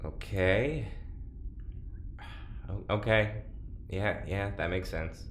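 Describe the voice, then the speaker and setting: An actor playing an anxious, sardonic, resigned prisoner test subject in an audio drama, speaking in a casual, hesitant way. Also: male voice, low voice, extremely slow, slight background noise. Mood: hurt, resigned. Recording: roomy, faint background ambience.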